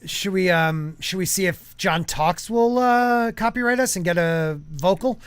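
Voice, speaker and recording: A man's voice: sits high, measured pace, clear, resonant tone, dry recording. A man hosting a casual podcast, joking around and reading viewer comments aloud. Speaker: podcast host.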